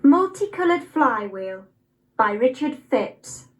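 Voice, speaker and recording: A young adult woman with an English accent, reciting poetry expressively with measured, deliberate phrasing. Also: high voice, very animated. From Nottingham, England. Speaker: poetry reader.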